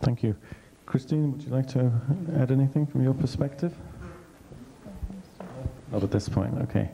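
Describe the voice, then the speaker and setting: A man's voice: mid-range voice, normal speed, creaky, warm tone, a little hiss or hum, rough recording. A man moderating a panel discussion, polite and brief.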